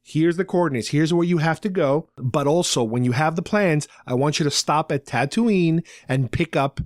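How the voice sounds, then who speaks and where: Higher-voiced man, dry close sound. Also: moderate pace, no echo. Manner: explanatory, rambling storytelling. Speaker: podcast host. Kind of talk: casual talk recapping a radio drama.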